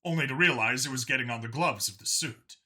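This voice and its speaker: A man's voice: medium pitch, quick pace, pushed and bright. A man narrating a scripted science fiction story as an audiobook reader.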